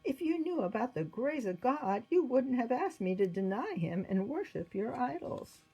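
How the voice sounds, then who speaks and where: Woman as an earnest, devout priest, husky. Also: a little high, a little slow, warm tone, pitched above the performer's own voice, poor audio. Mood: defiant, earnest. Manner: earnest, declaring his faith.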